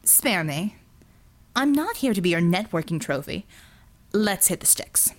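Audiobook villain, male, played by a woman: flippant, sardonic, smugly cruel, very animated intonation. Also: on the low side, unhurried. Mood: imperious. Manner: sardonic, breezy, mock-genteel.

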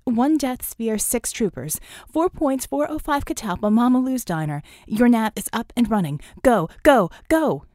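Urgent female dispatcher for a superhero team acted by a reader, clipped commands, raised pitch, dry recording. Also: lively intonation, unhurried, few pauses, a little mellow, no echo. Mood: urgent alarm.

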